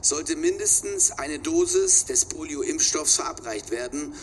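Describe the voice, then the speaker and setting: A man's voice: on the high side, huge pitch swings, measured pace, slight background noise, exaggerated voice. A man playing an earnest TV reporter reading a scripted propaganda report in a radio drama.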